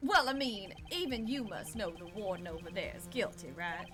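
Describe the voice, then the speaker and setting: A woman's voice: medium pitch, lively intonation, moderate pace, music in the background, voice pushed down, close to an everyday voice. A woman voicing a brash, insistent male defense attorney in a courtroom game, emphatic and pleading. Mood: protesting.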